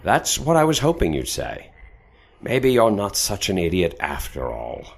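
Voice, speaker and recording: An actor playing an imposing, condescending gentleman commander in an audio drama, with dry sarcasm and ornate old-fashioned wording. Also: low voice, wildly swooping pitch, measured pace, creaky, full character voice. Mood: appraising. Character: imposing, sardonic gentleman commander in top hat.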